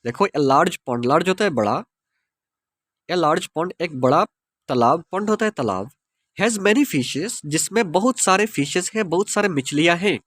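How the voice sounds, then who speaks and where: Indian man, Hindi native, English teacher, high voice, reads English lines slowly, spells words out. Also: lively intonation, a bit bright, no echo. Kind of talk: explaining an English story line by line.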